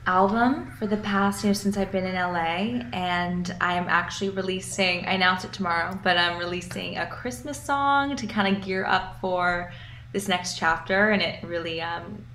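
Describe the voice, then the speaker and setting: A woman's voice: on the low side, normal speed, a bit bright. A young woman singer-songwriter with a New York accent answering interview questions over a video call. She is upbeat and enthusiastic, often repeating a word as she gets a thought going. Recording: echoey room, faint background noise.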